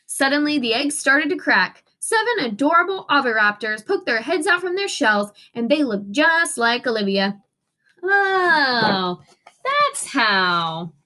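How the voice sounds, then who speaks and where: A woman teacher reading a storybook aloud to children, expressive and instructive, pausing to ask questions. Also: high voice.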